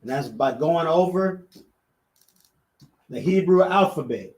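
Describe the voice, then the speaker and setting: A man leading a class, talking loosely and casually, with frequent restarts and the repeated tag "you know what I'm saying". His voice sits a bit high for a man, and he sounds set back from the microphone. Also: lively intonation, slow, recorded from a distance. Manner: chants letter names loudly. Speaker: class teacher. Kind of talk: casual teaching of an alphabet class.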